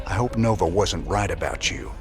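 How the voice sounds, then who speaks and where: An actor playing a gruff, weary rebel commander with a Southern American accent and a laconic drawl in a space western game. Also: male voice, low-pitched, normal speed, close to an everyday voice. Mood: wry, firm. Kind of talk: video game cutscene acting. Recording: music behind the voice.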